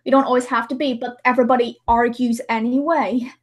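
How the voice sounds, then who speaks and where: A woman hosting a livestream in casual chat, often halting and restarting her phrases as she goes. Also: on the high side, fairly even intonation. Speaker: livestream host.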